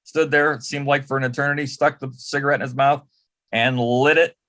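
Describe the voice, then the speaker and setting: Older man as a panel guest, mid-pitched, swapping fond old anecdotes in a conversational, halting way. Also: fairly even intonation, fairly bright tone. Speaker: panel guest, former celebrity assistant. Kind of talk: casual anecdotal storytelling on a panel.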